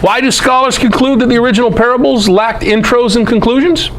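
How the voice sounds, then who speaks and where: A man's voice: very high-pitched, a little fast, a little hiss or hum. A man giving a conversational Bible lecture to a group, emphatic, posing rhetorical questions.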